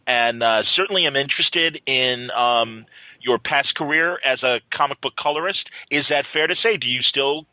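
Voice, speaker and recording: A man's voice: mid-range voice, normal speed, bright, forceful tone, no room sound, poor audio. An American man hosting a podcast in a casual, informal way, speaking as an enthusiastic fan. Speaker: podcast host, radio broadcaster. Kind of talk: casual interview and introduction.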